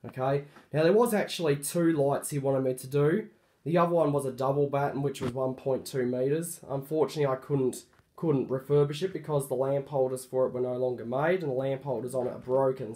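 A hoarse man gives a casual show-and-tell about a light fitting he fixed up. His voice is croaky from a cold, and he talks haltingly, full of ums and self-corrections. He sits at a mid pitch and records on a phone in a room at home. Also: fairly even intonation, warm tone. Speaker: hobbyist showing a refurbished light.

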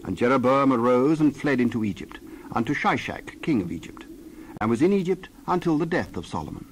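A man's voice: mid-range voice, expressive, a little fast, a little hiss or hum, poor audio. A man narrating scripture from a script as the narrator of a dramatized reading.

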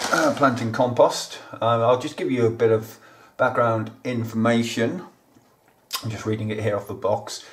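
A man, hosting a casual gardening how-to, chatting plainly and talking straight to his viewers at home. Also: medium pitch. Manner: chatty, plain-spoken, talks to viewers directly. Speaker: gardening channel host. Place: indoors at home, kitchen counter.

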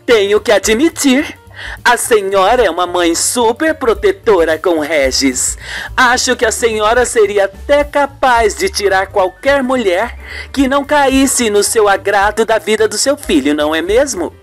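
A woman's voice: medium pitch, animated, a little slow, soft background music, lightly acted. A woman playing a poised, shrewd journalist in an amateur radio soap, with a pointed, probing manner. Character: poised, shrewd woman TV journalist confronting her mother-in-law.

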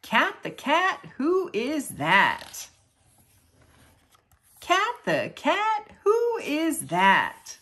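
A woman reading children's picture books aloud in an expressive storytelling style. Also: high voice, drawn-out words. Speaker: children's book reader.

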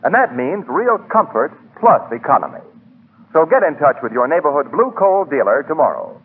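A man's voice: very animated, normal speed. A man with an American accent announcing formally and emphatically in scripted 1940s radio style. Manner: formal, emphatic pitchman delivery. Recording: muffled husky 1940s radio broadcast.

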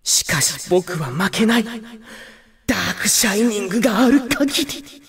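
A man's voice: high-pitched, animated, a little slow, a bit bright, exaggerated voice. A man voicing a gloomy, theatrical young demon villain in a video game, brooding and poetic, with grandiose melodrama. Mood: taunting. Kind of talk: Japanese video game character voice clips.

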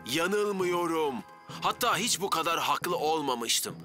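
A man voicing an overconfident, bumbling and excitable young fireman in a children's cartoon. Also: fairly high pitch, slow pace, full character voice. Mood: stubbornly confident. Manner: excitable, stubbornly sure of himself. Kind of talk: animated children's cartoon dubbing. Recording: music behind the dialogue.

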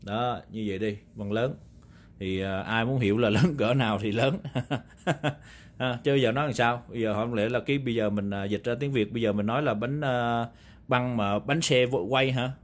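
A man's voice: medium pitch, moderate pace. A man with a Southern Vietnamese accent giving a casual, unscripted review as a video host, explaining in a rambling, conversational way with repeated confirming tags. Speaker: video host reviewing vintage audio gear. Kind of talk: casual, unscripted product talk. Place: indoor room, demonstrating equipment on a table.

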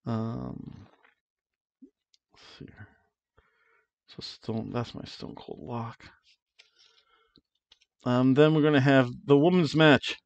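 A man's voice: medium pitch, slow pace, long pauses, no echo. A middle-aged man hosting a wrestling review show, chatting casual predictions to camera from a small home room on a headset mic, playful and rolling his R's on names. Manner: playful, rolls R's on wrestler names, hums dramatic tunes. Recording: dull lo-fi dry sound.

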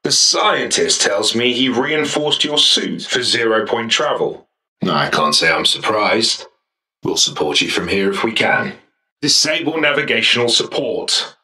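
An actor playing an armored sci-fi commander piloting a war mech in a video game, with a clipped, commanding military delivery. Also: male voice, on the low side, big pitch swings, unhurried, pushed and bright, recorded from a distance, full character voice, low-quality recording. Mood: dry, composed. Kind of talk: scripted video game voice acting.

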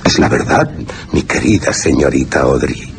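An actor playing a seductive, scheming aristocratic vampire in a radio horror drama, suave and theatrical. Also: male voice, a bass voice, big pitch swings, normal speed, creaky, slight background noise, heavily acted. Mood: suavely seductive. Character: sinister, seductive aristocratic male vampire, falsely tender schemer. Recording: prominent music under the voice.

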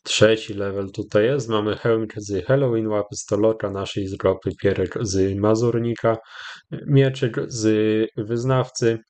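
A Polish man hosting a gaming channel, giving casual, rambling commentary with hesitant, drawn-out pauses. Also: on the low side, steady tone, darkish tone. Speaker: YouTube gaming host. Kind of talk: casual commentary over gameplay.

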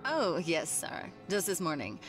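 A woman's voice: on the low side, very animated, a little slow. An actress playing a sly, two-faced young woman shop worker in a visual novel. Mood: falsely dutiful. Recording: music behind.

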